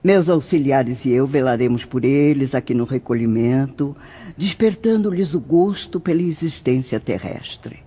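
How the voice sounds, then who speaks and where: A woman's voice: very deep voice, mellow, slightly rough, exaggerated voice. A woman playing a caring, authoritative spirit nun in an audio novel, instructing in a maternal, formal, emphatic way. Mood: earnest, instructive. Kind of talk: scripted audio novel acting, didactic. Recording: dull lo-fi audio.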